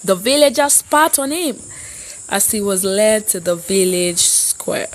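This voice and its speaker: A woman narrating a scripted, dramatic folktale as a storyteller, in a measured, solemn manner with deliberate phrasing. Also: medium pitch, lively intonation.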